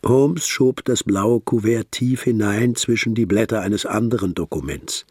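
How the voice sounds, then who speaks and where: Male story narrator, lowish pitch, husky, measured literary delivery, radio drama, dry close sound. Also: a little mellow, no room sound. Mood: measured, suspenseful.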